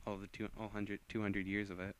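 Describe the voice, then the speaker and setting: A man's voice: fairly low pitch, flat delivery, fairly quick. A man narrating fan fiction as an amateur reader, often stumbling and rereading phrases.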